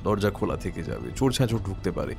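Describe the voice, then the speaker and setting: A private detective with a fairly low voice, set over clear background music. He is composed and deliberate, questioning politely but with authority, in the style of a radio mystery drama. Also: sing-song, darkish tone, full character voice. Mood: measured reasoning. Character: composed, probing male private detective on a case. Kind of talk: Bengali audio detective drama acting.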